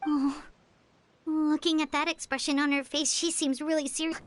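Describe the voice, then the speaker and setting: A woman voicing a tiny, chatty, fretful childlike companion in a video game, squeaky and expressive. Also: moderate pace, slight background noise, lightly acted. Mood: uneasy. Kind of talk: cartoonish video game acting.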